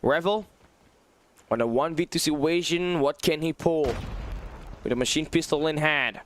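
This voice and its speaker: A man giving live play-by-play commentary on an esports match, his voice tired and hoarse, excitable and rising to shouts at big plays. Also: on the high side, moderate pace, no echo. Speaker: esports caster. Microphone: phone on a low battery.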